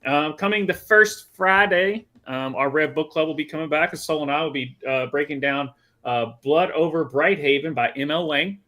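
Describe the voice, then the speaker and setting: A man co-hosting a casual sports podcast, joking around and acting out quotes in an animated way. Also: fairly high pitch, moderate pace. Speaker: podcast co-host.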